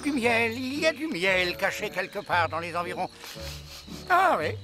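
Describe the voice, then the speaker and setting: An actor voicing an excitable, fawning little cartoon animal sidekick, theatrical and full of exclamations. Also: mid-range voice, moderate pace, over music, lightly acted. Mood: fawning, eager. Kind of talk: animated fairy-tale dubbing.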